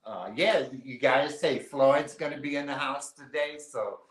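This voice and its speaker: A man's voice: medium pitch, measured pace. Guy cohosting a live stream, easygoing and rambling, lots of uhs, echoey room. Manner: relaxed, rambling, lots of fillers and restarts. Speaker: co-host of a live online artist marketplace show. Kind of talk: casual live-stream chat. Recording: roomy echo.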